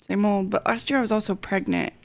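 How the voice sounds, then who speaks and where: Creaky-voiced young woman chatting. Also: mid-range voice, very animated, brisk. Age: 20s. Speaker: podcast guest. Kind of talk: casual chat.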